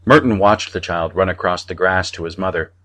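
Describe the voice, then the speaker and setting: A man narrating fiction from a script as an audiobook reader, in a measured storytelling manner. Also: sits low, fairly even intonation, fairly bright tone.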